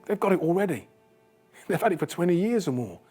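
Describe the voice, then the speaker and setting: A man's voice: high-pitched, sing-song, quick pace, darkish tone, soft background music, voice pushed up. A man reading a novel and voicing a chatty, placating younger British man in a conversational, coaxing manner.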